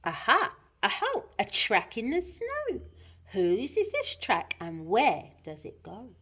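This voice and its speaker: A woman plays a little monster girl from a children's storybook. She is high-voiced and wildly animated, bold and curious. Her exclamations come in slow, sing-song bursts, full of eagerness. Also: breathy edge, exaggerated voice. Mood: excited. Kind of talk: children's storybook character reading.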